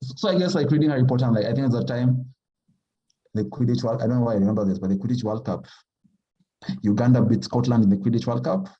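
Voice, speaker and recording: A man from Kenya, a writer talking casually as a podcast guest in a halting, thoughtful way full of fillers. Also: medium pitch, highs cut off. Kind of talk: casual conversation.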